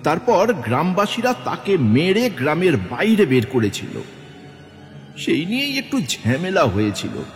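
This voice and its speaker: A man's voice: a little high, wildly swooping pitch, a little slow. A man narrating a horror story as a dramatic scripted audio tale. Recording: long hall reverb with music underneath.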